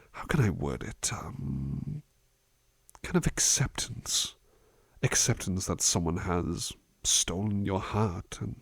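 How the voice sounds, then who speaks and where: Man playing a husky, low-voiced gentle butler. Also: no room sound, heavily acted. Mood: musing. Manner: soft-spoken, hesitant, courteous, reassuring. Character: gentle, devoted, polite butler attending to a master. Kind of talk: ASMR roleplay, intimate personal attention.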